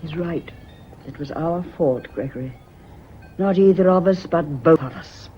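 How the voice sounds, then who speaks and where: A woman playing an anguished, bitter lady companion in a radio drama, speaking gravely in clipped, dramatic phrases. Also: on the low side, a little slow, lightly acted. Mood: bitter, resigned. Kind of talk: vintage British radio drama acting. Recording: dull, noisy old radio recording.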